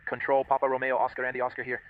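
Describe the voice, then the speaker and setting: A man's voice: medium pitch, completely flat delivery, rapid-fire, narrow, tinny audio. A man speaking as a pilot, making position reports and radio calls over an aviation radio.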